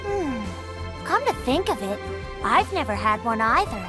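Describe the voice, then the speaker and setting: A woman's voice: on the high side, very animated, a little slow, over music. A woman voicing a shy, caring little boy in a video game, with a simple childlike way of talking. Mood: hopeful. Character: poor little boy, caring selfless big brother.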